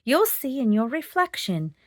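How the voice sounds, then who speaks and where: Animated woman reading a storybook. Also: high voice, measured pace, no room sound, studio quality. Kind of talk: expressive children's storybook read-aloud.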